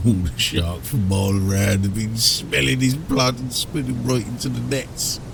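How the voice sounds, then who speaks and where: A man's voice: medium pitch, little pitch movement, measured pace, creaky, background noise. A man voicing an ork crewman, a coarse-spoken underling to his boss, in a fantasy audiobook. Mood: nervous. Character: hesitant, eager-to-please male ork crewman underling. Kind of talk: fantasy audiobook character acting.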